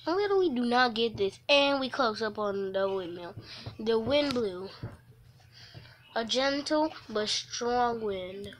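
A woman livestreamer reading a visual novel aloud, haltingly, breaking off for casual offhand asides. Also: on the high side, animated. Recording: noisy mobile stream with clicks.

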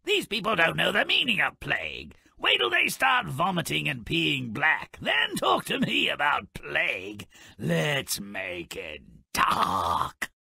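An actor playing a gleefully malicious, sardonic little fairy-tale troublemaker in a video game, with a raspy, gravelly voice and a theatrical, gloating delivery. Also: male voice, on the high side, wildly swooping pitch, takes it slowly, dry recording, clean studio sound, full character voice. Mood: gleeful, loud. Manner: sardonic, gloating, theatrical, swings from murmur to shout. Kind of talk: theatrical scripted game narration.

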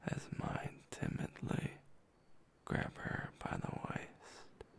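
A man reading a romantic story aloud in a slow, intimate ASMR whisper. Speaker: ASMR creator.

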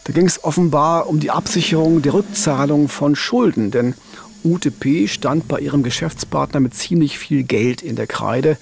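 A middle-aged man presenting a true-crime case in a deliberate, emphatic, conversational narration on a studio microphone. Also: fairly high pitch, gravelly. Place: indoor radio studio. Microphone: studio boom microphone.

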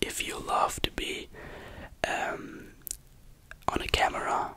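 An ASMR creator whispering answers to questions in English, halting and searching for words, close to the microphone. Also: pushed and bright.

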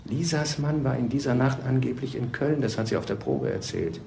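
An actor playing an earnest, odd, obsessive suspect in a crime radio drama, halting and insistent, in an echoing room. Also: male voice, mid-range voice, creaky, a little mellow, natural voice. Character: earnest, odd, obsessive young murder suspect with mother fixation. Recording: echoing room, faint background noise.

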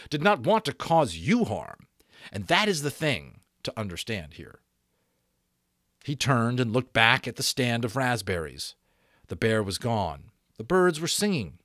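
A man in his thirties narrates an audiobook with very lively, swooping intonation. He leans on key words and pauses deliberately for drama. The sound is close and dry. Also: medium pitch, no echo. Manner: expressive, deliberate pauses and stressed repeats. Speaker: audiobook reader. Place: small room at home. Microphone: desk microphone close in front.

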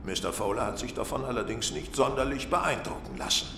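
An actor playing a keen, self-assured detective in a German radio play, speaking in deliberate, formal, precise sentences. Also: male voice, medium pitch, expressive, creaky. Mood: assured, explanatory. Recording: roomy, distant, echoing, faint noise.

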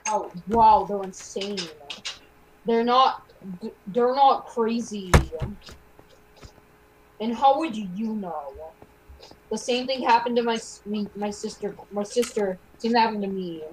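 A woman acting student characters in an amateur game roleplay, halting and repeating phrases. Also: on the high side, noisy background.

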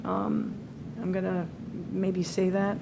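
A woman's voice: medium pitch, little pitch movement, slow, gravelly, noisy background. Woman leading a town meeting. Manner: thinking aloud with many fillers and restarts. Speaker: committee leader presenting a scoring rubric. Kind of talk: public meeting presentation. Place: town hall auditorium. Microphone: table microphone.

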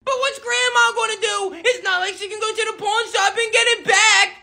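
A woman's voice: fairly even intonation, normal speed, vocal fry, sharp tone, exaggerated voice. A woman voicing a bratty, melodramatic little boy in a comedy skit, with a whiny, wailing delivery. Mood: upset. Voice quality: high-pitched, whiny.

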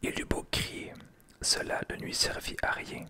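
A man reading a fairy tale aloud in a soft ASMR whisper. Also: unhurried. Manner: unvoiced whisper throughout. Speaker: storyteller.

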